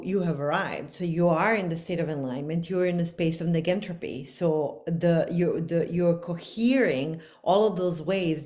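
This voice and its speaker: A woman with a Bosnian accent talks in an earnest, expansive and spiritual way, reaching for big ideas. Her voice sits somewhat low. She speaks as a guest in a casual podcast conversation. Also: a little mellow. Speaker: guest author.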